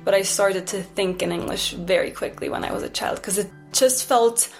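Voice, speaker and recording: A woman's voice: mid-range voice, normal speed, vocal fry, soft background music. A young woman from Switzerland with a Swiss German accent, a singer-songwriter chatting casually and often saying "like". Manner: chatty, with frequent "like" fillers. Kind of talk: casual conversation.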